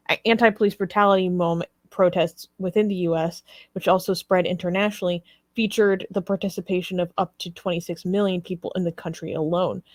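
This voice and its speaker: A woman's voice: on the low side, no room sound. A woman co-hosting a live discussion, reading articles aloud and commenting on them. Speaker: talk show co-host.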